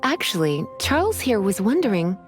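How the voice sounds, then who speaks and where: A woman voicing a languid, flirtatious lady in an anime game, breathy and coy. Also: mid-range voice, very animated, normal speed, music in the background, lightly acted. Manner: coy, drawling endearments. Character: languid, flirtatious, teasing grown woman librarian.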